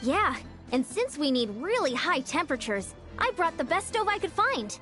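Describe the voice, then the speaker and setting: A helpful, composed young woman in an anime-style game. Her voice is high, with light music behind it. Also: big pitch swings, normal speed, a bit bright.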